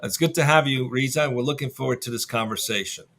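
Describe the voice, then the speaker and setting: Bright-voiced male interview host. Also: medium pitch, fast. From Southern California. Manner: upbeat, conversational with fillers. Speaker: podcast host. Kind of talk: hosting an interview.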